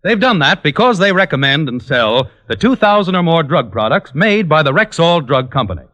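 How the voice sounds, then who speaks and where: A man's voice: a little high, sing-song, normal speed, a bit bright. A man with an American accent delivering a scripted sponsor message as a mid-century radio announcer.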